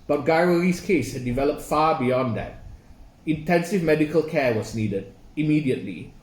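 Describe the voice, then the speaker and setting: Male author reading fiction aloud, fairly high voice, echoey room. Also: moderate pace. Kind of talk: literary reading. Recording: roomy echo.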